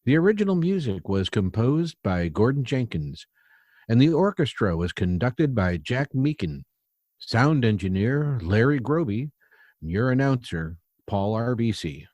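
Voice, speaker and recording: A man's voice: mid-range voice, sing-song, unhurried, a little mellow, no echo. A man working as announcer, reading formal credits in old-time radio style.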